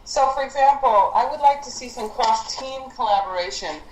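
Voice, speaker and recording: A woman speaks as a programme leader on a conference call, her voice carrying clear room echo over faint noise. She explains things informally and haltingly, with an encouraging manner. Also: a little high, a little mellow.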